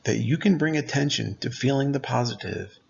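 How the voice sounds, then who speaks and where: Male meditation narrator, mid-pitched and creaky, gentle deliberate delivery, slightly dull recorded sound. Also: lively intonation. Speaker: meditation guide.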